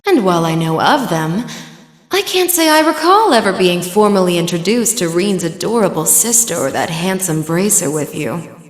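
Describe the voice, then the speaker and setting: A woman's voice: on the low side, very animated, moderate pace. A woman voicing an elegant, coy villainess sorceress in a video game, sultry and condescending as she teases. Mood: coy, teasing. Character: elegant, teasing villainess sorceress speaking through her bird familiar. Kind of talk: English video game voice acting. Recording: roomy long reverb, music underneath. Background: music.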